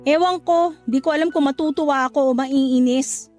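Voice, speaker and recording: Woman acting a very high-pitched feisty young mother. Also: moderate pace, darkish tone, soft background music, lightly acted. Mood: exasperated. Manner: sassy, outspoken. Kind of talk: Tagalog radio drama acting.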